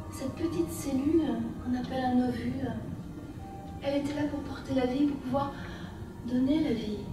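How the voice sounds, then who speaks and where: A woman from France with a French accent and a high voice, guiding a women's workshop in a soft, lyrical way. She is far from the mic in a very echoey room. Music plays clearly behind her. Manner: gentle, lyrical, with repeated phrases. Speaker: workshop leader. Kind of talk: guided women's workshop talk.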